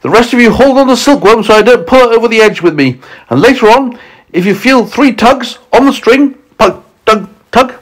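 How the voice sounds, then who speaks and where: A man's voice: very high-pitched, animated, moderate pace, voice pushed up. A man voicing a brave young boy in a storybook, urgently calling out orders. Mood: urgent instructing. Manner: urgent, exclaiming. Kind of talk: storybook character voice.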